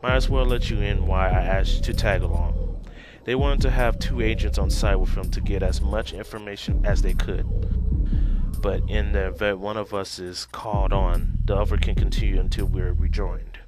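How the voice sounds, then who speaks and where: A man playing a curious, self-assured government agent in a scripted fan-dub drama, speaking in a measured, probing way. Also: lowish voice, fairly even intonation, natural voice. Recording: loud music behind.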